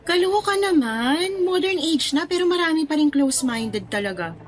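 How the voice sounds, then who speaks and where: A woman's voice: high-pitched, moderate pace. A woman playing a chatty, curious young Filipina friend in a radio drama, teasing and full of exclamations. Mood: incredulous. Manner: animated, reacting with exclamations and questions. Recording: music playing under the voice.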